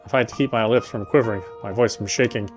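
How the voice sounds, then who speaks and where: A man's voice: lowish voice, fast, faint music behind. A man gaming and reading a visual novel's narration aloud, with casual asides. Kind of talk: let's play read-aloud with casual asides.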